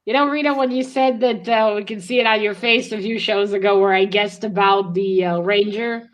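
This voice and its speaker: A woman hosting a book read-along in casual chat, speaking haltingly and often repeating words. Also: mid-range voice, fairly even intonation.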